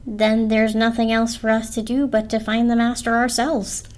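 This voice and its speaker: A woman's voice: fairly high pitch, flat delivery, normal speed, a little mellow, lightly acted. A woman voicing a sweet, determined little toaster with a reasoning, persuasive manner in a storybook reading. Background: orchestral film score.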